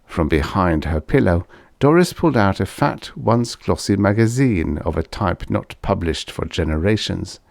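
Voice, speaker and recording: A man's voice: low-pitched, expressive, normal speed, vocal fry, darkish tone, dry recording, clean studio sound. A man reading vintage science fiction aloud as an audiobook narrator, in a scripted storytelling style.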